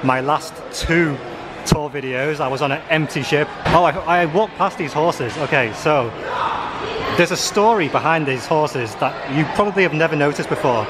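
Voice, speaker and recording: A man's voice: on the high side, moderate pace, a crowd in the background. A man giving a casual walking video tour as a travel vlogger, talking as he shows viewers around. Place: walking around a busy cruise ship, indoors and on open decks. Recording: busy, noisy public ship ambience.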